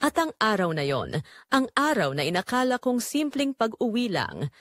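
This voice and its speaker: A woman's voice: fairly high pitch, sing-song, moderate pace, a bit bright, dry recording, studio quality. A woman with a Filipino accent narrating a scripted first-person drama in an emotional, theatrical way.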